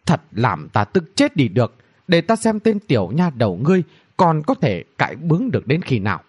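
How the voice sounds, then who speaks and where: A man's voice: fairly high pitch, sing-song, a little fast, breathy edge. A man voicing a haughty, hot-tempered woman mage, scornful and threatening, in a fantasy audiobook. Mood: scornful anger. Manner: scornful, threatening, spell-shouting.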